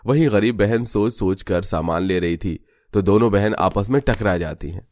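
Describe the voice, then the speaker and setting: A man's voice: lowish voice, moderate pace, a little mellow, no echo, clean studio sound. A man giving scripted narration for a cartoon story.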